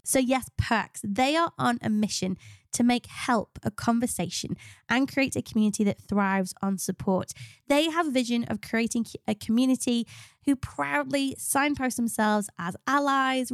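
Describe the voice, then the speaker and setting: Bunged-up woman podcaster, higher-pitched voice. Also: no echo, clean studio sound. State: bunged up with a cold. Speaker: podcast co-host, business psychologist. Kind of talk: chatty podcast hosting with scripted reads.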